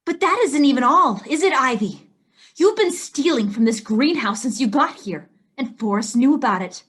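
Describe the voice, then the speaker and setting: A woman acting as an earnest amateur detective in an audio drama, stern and confrontational, with a husky voice. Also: sits high, lively intonation, normal speed, a bit bright, full character voice. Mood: stern, accusing.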